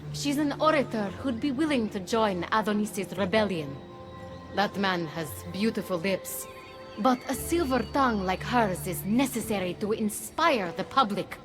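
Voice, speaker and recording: An actress playing a commanding goddess of witchcraft in a video game, aloof and scheming, with a measured, imperious delivery. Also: medium pitch, animated, close to an everyday voice. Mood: scheming, brisk. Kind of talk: video game cutscene acting. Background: background music.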